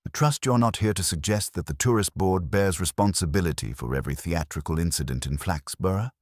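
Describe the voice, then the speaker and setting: A man's voice: low voice, a little fast, no echo, clean studio sound, lightly acted. A man voicing a composed, prim woman administrator with a formal, guarded manner in a storybook mystery. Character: composed, prim female tourist-board administrator.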